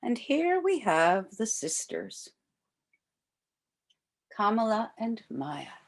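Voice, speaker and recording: An older woman reading a picture book aloud to young children as a volunteer storyteller, with expressive, drawn-out emphasis. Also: mid-range voice.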